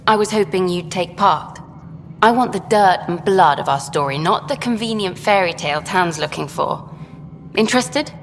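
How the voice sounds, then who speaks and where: An actress playing an eager, idealistic documentary journalist in a video game, brisk and frank. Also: female voice, on the low side, animated. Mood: friendly, persuasive. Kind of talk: video game dialogue acting. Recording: long hall reverb, faint noise.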